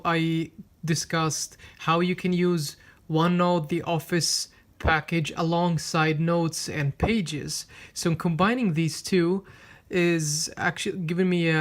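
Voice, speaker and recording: A man whose first language is Swedish, reviewing a tablet in a casual, unhurried way with frequent fillers and restarts while demonstrating it. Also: a little high. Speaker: tech reviewer. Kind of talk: casual product review with live demo.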